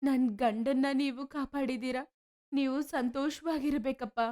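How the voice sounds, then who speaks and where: Lady playing a village mom, kindly but worried, high voice, gently scolding, story dialogue. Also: fairly even intonation, unhurried. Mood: grateful. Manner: gently admonishing, motherly. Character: concerned, kindly middle-aged village mother. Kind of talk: animated moral story dialogue.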